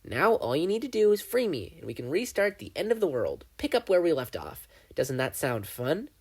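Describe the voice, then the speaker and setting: Gleeful evil triangle demon as a reader puts him on, mocking and theatrical, husky edge, pitch jumping all over. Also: huge pitch swings, moderate pace, full character voice. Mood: menacing. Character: gleeful menacing male triangle dream demon. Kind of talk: fan fiction audiobook reading.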